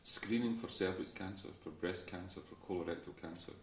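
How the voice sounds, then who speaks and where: A man giving an interview statement as a researcher, explaining points clearly one by one. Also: sits low, a little fast. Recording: roomy, distant, faint noise.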